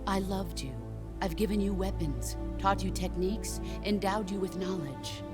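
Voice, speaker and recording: An actress playing a stoic, battle-hardened middle-aged soldier and mentor in a game cutscene, speaking gravely and deliberately. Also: female voice, mid-range voice, close to an everyday voice. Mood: solemn resolve. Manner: measured, deliberate, grave delivery. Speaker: video game character. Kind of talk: dramatic cutscene monologue. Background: orchestral music.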